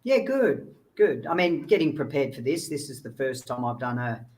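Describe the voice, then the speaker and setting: A man's voice: medium pitch, very animated, normal speed, darkish tone. A man talking casually as a guest speaker in an online chat. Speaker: guest speaker, child recovery agent.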